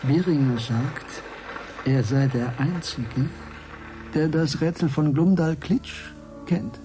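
An actor playing a dry, politely defiant private detective in a German radio drama, speaking in a hesitant, wry way. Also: male voice, a little high, takes it slowly, dark tone, soft background music. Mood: puzzled, earnest.

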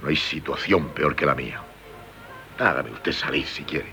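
An actor playing a despairing, defiant, world-weary man on trial in a 1970s radio drama, halting and brooding, with a Castilian Spanish accent. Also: a bass voice, expressive, gravelly, heavily acted. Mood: bitter defiance. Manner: hesitant, brooding, halting, repeating words. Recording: 1978 radio recording with loud music.

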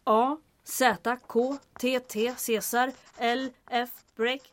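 A woman's voice: on the high side, little pitch movement, lightly acted. A woman playing a decisive, sly leader of a resistance codebreaking cell in an audio drama. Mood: brisk, approving. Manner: decisive, commanding.

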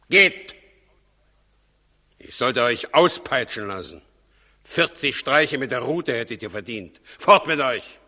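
A hard, forceful voice in a long reverb: an irritable, suspicious old-world king in a 1960s radio play. He is theatrical and imperious, swinging between commanding outbursts and bitter muttering. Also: fairly high pitch, exaggerated voice. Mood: imperious, irritated. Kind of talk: 1960s German radio drama acting.

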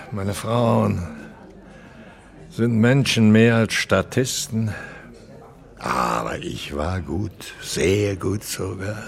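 Radio drama: aging male opera star, low-pitched, creaky, pompous and self-aggrandizing, declamatory delivery. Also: heavily acted. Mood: haughty, then self-glorifying. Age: 80s. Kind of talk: German audio drama dialogue and monologue.

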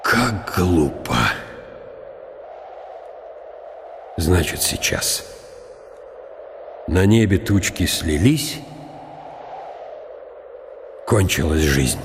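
A man's voice: deep voice, animated, drawn-out words, long pauses, exaggerated voice. An actor in a radio play voicing the inner thoughts of a proud condemned man who hides fear behind contempt. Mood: bleak, resigned. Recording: echoing hall reverb, clear hiss.

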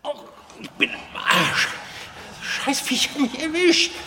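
High-voiced, excitable North German islander. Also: male voice, slow pace, noisy background, heavily acted. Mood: desperate. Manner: broad, garbled dialect, agitated. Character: stubborn, excitable male Heligoland islander speaking thick dialect. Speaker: actor in a horror radio drama.

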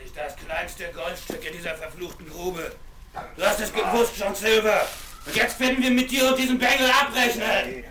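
A furious pirate mutineer in a 1970s radio play. His high voice accuses and threatens, echoing through a very noisy old record. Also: fairly even intonation, moderate pace. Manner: accusing, cursing.

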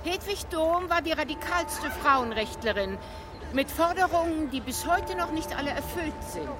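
A woman's voice: high voice, expressive, normal speed, over loud music. An actress playing an emphatic, lecturing female guide giving a tour in a radio drama. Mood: emphatic, instructive. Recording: clear outdoor ambient noise.

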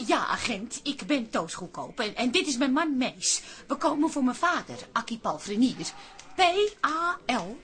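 A woman's voice: a little high, very animated, moderate pace, a bit bright. An actress playing a homely, easily flustered café landlady and daughter in a radio drama, soothing by manner. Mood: apologetic.

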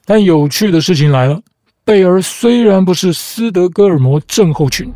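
A man hosting a podcast, calmly narrating a scripted explanation in a measured storytelling manner. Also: high voice. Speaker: podcast host. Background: background music.

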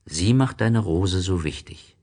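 Storybook fox, played by a man: wise and gentle, unhurried low delivery, earnest and solemn. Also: animated, breathy edge, full character voice. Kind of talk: storybook character reading.

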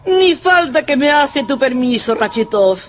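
An actress playing a sharp-tongued, rich old Russian grandmother in a radio drama, haughty, mocking and commanding. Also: high voice, animated, a little slow. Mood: scornful, commanding.

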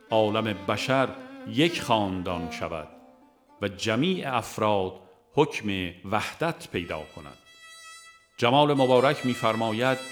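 A man with an Iranian Persian accent reads a religious audiobook in a measured, formal, literary manner. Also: medium pitch. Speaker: audiobook narrator. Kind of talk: scripted narration of a religious book.

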